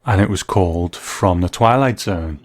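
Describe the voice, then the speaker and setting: A man hosting a show, giving a measured, thoughtful scripted review in a reflective narrating style. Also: deep voice. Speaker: host and narrator.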